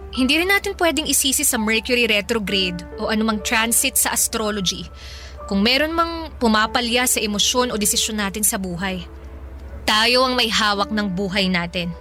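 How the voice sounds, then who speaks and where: A woman's voice: a little high, natural voice. A woman playing a lovelorn, clingy and defensive young woman in a radio romance drama.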